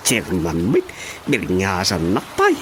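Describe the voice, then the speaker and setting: A man's voice: deep voice, fairly bright tone, slight background noise, full character voice. An actor with an Ilocano accent playing a village man in a radio comedy drama.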